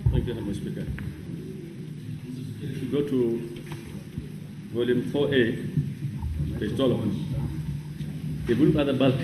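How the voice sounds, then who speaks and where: Man, mid-pitched voice, formal questioning, clear background noise. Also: steady tone, measured pace, pauses often. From Kenya. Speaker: senator questioning a witness. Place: parliamentary chamber.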